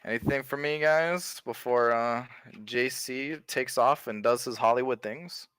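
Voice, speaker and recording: A man with a deep voice that still has a bright, forceful edge, talking as a guest in a casual interview. He speaks loosely and leans on "like" constantly. The sound is dry and close, with no room around it. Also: unhurried, clean tone, dry recording. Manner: laid-back, loose, leans on "like" a lot. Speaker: streamer being interviewed.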